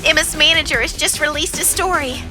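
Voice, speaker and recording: Panicked secretary, very sharp high voice, urgent, audio drama. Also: female voice, a little fast, soft background music.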